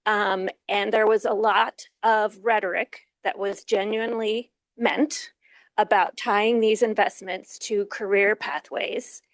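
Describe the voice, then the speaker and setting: A woman researcher talking on a conference panel in a measured way, with a bit of dry wit. Her voice is at an average pitch and sounds close and dry. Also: fairly even intonation, no echo. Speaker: think tank researcher, panelist. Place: conference forum.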